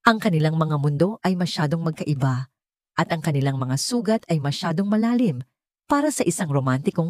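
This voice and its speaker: Female Filipino storyteller for scripted romantic drama narration; soft dark timbre, close dry sound. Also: low voice, dry recording.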